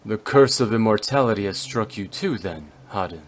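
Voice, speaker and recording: A man playing a stern, brooding immortal swordsman in an anime-style game, speaking formally and gravely. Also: lowish voice, expressive, normal speed. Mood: cold, contemptuous.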